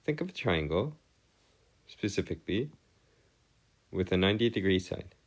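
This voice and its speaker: A man's voice: low voice, sing-song, normal speed. A man giving an informal step-by-step lesson as a tutor, with formal, wordy phrasing and hesitant self-corrections. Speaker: math tutor. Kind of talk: informal lecture, explaining step by step.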